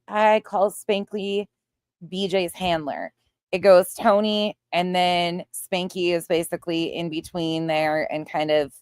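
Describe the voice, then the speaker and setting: A woman with a somewhat low voice, recorded very dry with little room sound. She talks casually as a livestream host reacting to someone, with sarcasm and blunt, sweary mockery. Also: a little mellow, clean tone, no echo. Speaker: livestream host reacting to another creator's stream.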